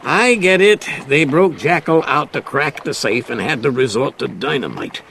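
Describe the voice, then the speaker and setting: Blustery, animated police chief, noisy recording. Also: male voice, medium pitch, normal speed, vocal fry. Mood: confident. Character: blustery, easily astonished male police chief in 1895. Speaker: actor. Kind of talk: old-time radio mystery drama acting.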